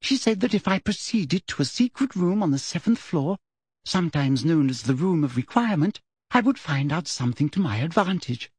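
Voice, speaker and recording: A man's voice: a little high, sing-song, normal speed, a little mellow, breathy edge, dry recording, pitched above the performer's own voice. A man voicing a simpering, falsely sweet woman official with a cruel streak underneath, as an audiobook character. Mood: smug.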